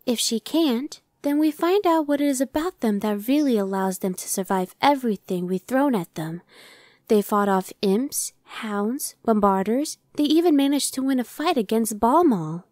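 A woman's voice: a little high, lively intonation, normal speed, darkish tone, no echo, studio quality, lightly acted. A woman voicing an impatient, sardonic female demon scout in an ASMR roleplay, talking in a conversational, dismissive way. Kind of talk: ASMR roleplay, one-sided dialogue.

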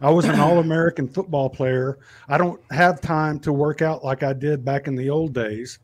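A man in his fifties is debating over a video call on a headset. his pitch sits a bit high, and he argues combatively, cutting in and talking over the other side. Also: steady tone, normal speed. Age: 50s. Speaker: farmer debating. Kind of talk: heated online debate.

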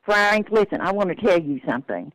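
A woman's voice: on the low side, big pitch swings, fairly quick, noisy background. An older woman talking on the telephone in a plain-spoken, admonishing manner. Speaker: prank call recipient. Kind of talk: phone conversation.

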